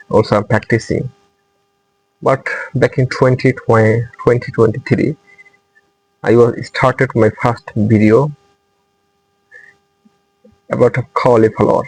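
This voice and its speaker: A young Bangladeshi man, Bengali by birth, speaks English with a clear Bangladeshi accent. His voice is husky and rough, with a gravelly edge at the ends of phrases. He hosts his channel with an earnest, repetitive motivational delivery. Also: on the low side, a little mellow, poor audio. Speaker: English-learning channel host. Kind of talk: motivational talk to camera.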